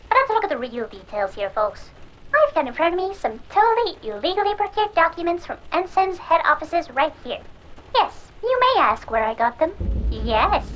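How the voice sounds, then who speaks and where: A woman's voice: sits high, a bit bright, rough recording. A woman playing a cocky, sardonic hacker who hosts a pirate radio show in a cyberpunk audio drama, talking theatrically to her audience.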